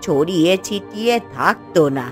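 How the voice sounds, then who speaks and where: A woman voicing a gentle elderly village grandmother in a fairy-tale cartoon, speaking in a drawn-out, reassuring way. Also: lowish voice, expressive, faint music behind, natural voice. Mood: awed, hopeful.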